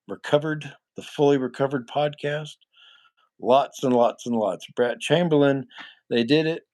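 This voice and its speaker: A man in his forties with a nasal voice and an ordinary middle pitch talks in a loose, rambling way to his livestream chat. He is blunt and swears freely. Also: darkish tone. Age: 40s. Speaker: livestream host answering chat. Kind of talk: casual live chat.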